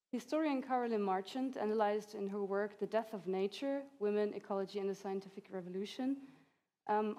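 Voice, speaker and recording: A woman in her thirties, a native Hungarian speaker, presents an academic talk at a conference. Her voice is mellow and dark-toned, at a middle pitch, and she laughs lightly between points. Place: conference hall.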